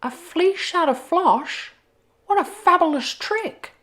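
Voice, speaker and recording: A woman's voice: very high-pitched, lively intonation, slow pace, fairly bright tone, voice pushed up, exaggerated voice. A woman voicing a cunning, vain male wolf with a boastful, gloating manner in a children's story. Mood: scheming.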